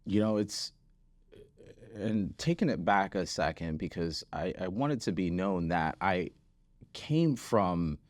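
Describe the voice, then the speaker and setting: A man's voice: sits low, animated, slow pace, darkish tone, dry recording. A man speaking as an interview guest, telling his story conversationally, stressing key words and often checking in with "right?". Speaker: podcast guest, physical therapist and business founder.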